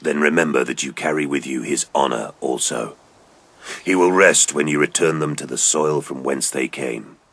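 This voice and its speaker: A man playing a gruff, stern veteran space marine sergeant in an audio drama, speaking in a low gravelly voice with terse, grave soldierly delivery. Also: normal speed, fairly bright tone, no echo, exaggerated voice. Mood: solemn, grave. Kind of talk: audio drama character acting.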